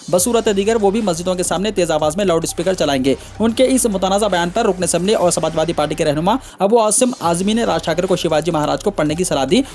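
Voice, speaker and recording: A man's voice: sits high, a little mellow, a little hiss or hum. A man reading scripted news in a formal manner, delivering headlines and stories as a news reader.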